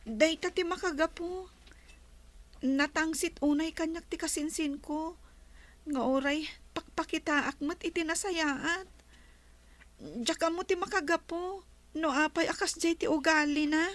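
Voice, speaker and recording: A woman's voice: very high voice, fairly even intonation, unhurried, pauses often, a bit bright. An actress playing a meek, kind young orphaned woman in a radio drama, polite, hesitant and plaintive. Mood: subdued, sad.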